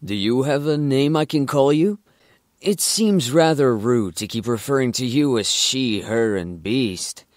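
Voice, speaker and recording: A man's voice: mid-range voice, expressive, unhurried, dry recording, studio quality, natural voice. A man playing a friendly, courteous young man in an audio drama.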